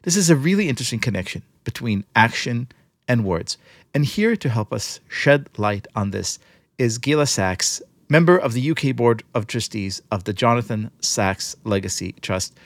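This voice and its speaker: A male podcast host with an animated, swooping delivery. He narrates and interviews emphatically, with theatrical phrasing, in a dry, close recording. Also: medium pitch, normal speed, dry recording.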